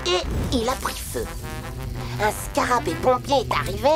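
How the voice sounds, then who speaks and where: A confident little cartoon child, telling stories with imagination. The voice is high and very animated in pitch, with music behind it. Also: female voice, normal speed. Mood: confident, playful. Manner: animated storytelling. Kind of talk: French children's cartoon dub.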